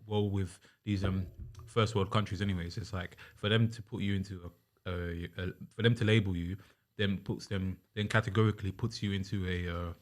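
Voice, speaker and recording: A man's voice: sits low, steady tone, a little fast. A young man, a podcast guest talking earnestly in casual chat, with many restarts and fillers. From Uganda.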